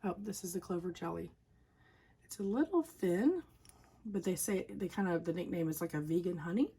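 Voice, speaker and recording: A woman with a Southern US accent chatting casually and informally to viewers as a home video host, her voice slightly hoarse and recovering. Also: fairly low pitch, animated, moderate pace, mellow. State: slightly hoarse after a cough and lost voice.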